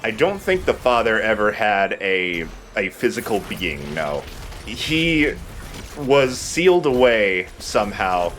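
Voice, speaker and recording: Male gameplay host, lower register, conversational and explanatory, casual delivery, faint noise in the recording. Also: slow pace. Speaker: let's play host, playing the game. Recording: noisy mic with steady background noise.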